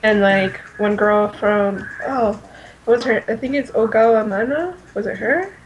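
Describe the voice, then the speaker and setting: A woman's voice: on the high side, unhurried, muffled recording. Casual woman panelist, noisy recording. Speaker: podcast panelist. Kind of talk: casual chat. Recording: noticeable background noise.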